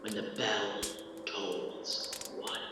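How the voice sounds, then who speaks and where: A man playing a tormented, mournful ghost of a dead businessman in an audio drama, declaiming gravely and theatrically through ghostly reverb. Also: fairly low pitch, completely flat delivery, very slow. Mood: grave warning. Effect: heavy ghostly reverb. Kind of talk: audio drama acting of Victorian literary dialogue. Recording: cavernous long reverb, distant, loud noise.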